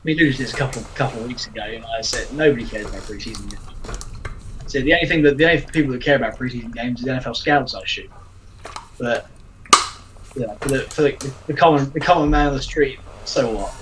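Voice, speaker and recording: A man co-hosts a sports podcast in a blunt, halting manner, stumbling through repeated words before landing his point. His pitch sits in the ordinary middle range. A faint noise hangs under his voice. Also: rough recording. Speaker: podcast co-host. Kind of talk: casual sports podcast chat.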